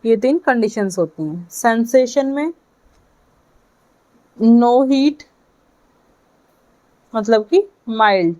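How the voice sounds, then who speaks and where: Slow, higher-pitched Indian woman lecturing. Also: expressive, warm tone, rough recording. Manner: halting delivery in short phrases, checking in with listeners. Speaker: physiotherapy lecturer. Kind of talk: lecture explanation for students.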